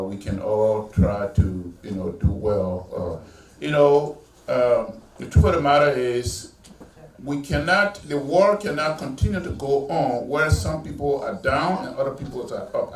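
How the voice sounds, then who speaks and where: A man's voice: mid-range voice, unhurried. A middle-aged man, a pastor giving a conversational speech at a graduation ceremony, with many fillers and restarts. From Liberia. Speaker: guest speaker, pastor. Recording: echoey hall from far off, faint noise.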